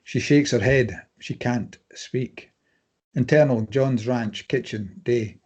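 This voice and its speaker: A man's voice: mid-range voice, takes it slowly, darkish tone, breathy edge, dull audio. A man reading stage directions as scripted narration for a drama table read.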